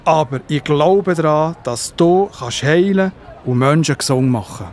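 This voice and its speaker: Man acting a slow-spoken, earnest Roman centurion. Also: on the high side, darkish tone, lightly acted. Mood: anxious pleading. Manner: earnest, pleading. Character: Roman centurion, a worried, humble man of firm faith. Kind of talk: children's audio play acting.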